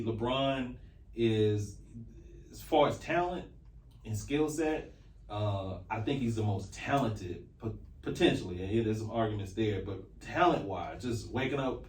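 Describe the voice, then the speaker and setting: A man's voice: fairly low pitch, a little mellow. A man in his forties from North Carolina with an American accent, chatting casually and emphatically as a podcast co-host about sports. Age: 40s. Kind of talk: casual sports talk, opinionated. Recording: roomy echo, distant from mic.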